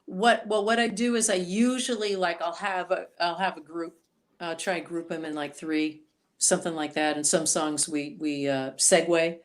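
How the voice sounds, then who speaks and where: A woman singer-songwriter being interviewed on a podcast, talking in an animated, chatty way. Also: on the low side, normal speed. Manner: animated, chatty storyteller, voices quoted reactions.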